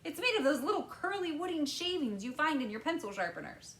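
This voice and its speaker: A woman voicing an eccentric, excitable male candy maker who exclaims fussily, in a storybook reading. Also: high-pitched, voice pushed up, heavily acted. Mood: exclaiming. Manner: fussy, exclamatory, dramatic pauses. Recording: roomy echo.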